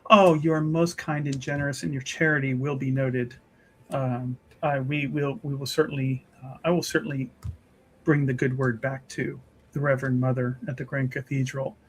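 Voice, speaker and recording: A man voicing a polite, effusive woman who serves as a church official, speaking in a halting, genteel way. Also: medium pitch, flat delivery, fairly bright tone, slight background noise, lightly acted, poor audio. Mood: gracious.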